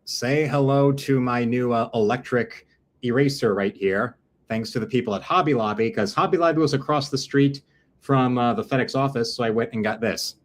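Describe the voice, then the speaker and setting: A man's voice: mid-range voice, moderate pace. Chatty male art streamer. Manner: chatty, opinionated. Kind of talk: casual livestream commentary while drawing.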